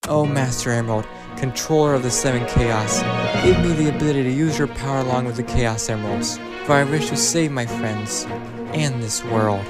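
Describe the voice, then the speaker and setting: Man as a bold young hero over loud music. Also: mid-range voice, moderate pace, lightly acted. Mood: determined. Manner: earnest, bold. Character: brave young male hedgehog hero, defiant. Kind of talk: fan game cutscene voice acting.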